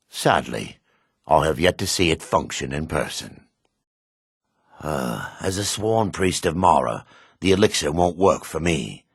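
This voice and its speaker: An actor playing a devout, remorseful dark elf priest in a fantasy video game, speaking formally and gravely with a raspy voice. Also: male voice, rumbling low, no echo, studio quality, exaggerated voice. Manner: formal, measured, grave delivery.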